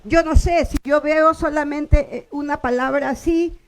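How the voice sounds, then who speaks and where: High-voiced woman lecturing a class. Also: steady tone. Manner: explanatory, checks in often with "¿no?" and "¿ok?"; sounds out example words slowly syllable by syllable. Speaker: workshop lecturer on linguistics.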